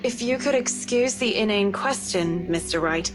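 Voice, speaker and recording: A woman voicing a discreet, quick-witted young working woman in a visual novel, polite and measured with dry wit. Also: medium pitch, over music, natural voice, rough recording. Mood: cautious. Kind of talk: visual novel character acting.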